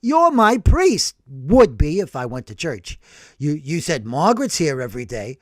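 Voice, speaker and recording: A man voicing a brash, excitable older working-class man who tells his story in animated slang. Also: fairly high pitch, measured pace, dry recording. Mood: desperate.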